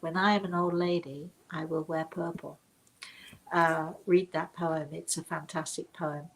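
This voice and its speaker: An older woman telling reflective personal stories to her viewers in an emphatic, deliberate manner, often turning to rhetorical questions. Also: low voice, level tone, poor audio. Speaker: speaker and storyteller hosting her own show.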